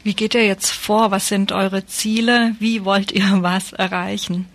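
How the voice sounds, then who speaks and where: A woman's voice: mid-range voice, fairly even intonation, measured pace, no echo. Woman radio reporter, dry close sound. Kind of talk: interview questions and scripted radio report.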